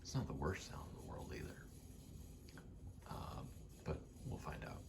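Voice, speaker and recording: A man softly rambling in a casual ASMR style, breathy and often whispering, sweary and self-deprecating. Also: moderate pace. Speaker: video creator rambling to camera. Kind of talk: soft-spoken ASMR ramble. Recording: clear background noise, rattling vent. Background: rattling vent noise.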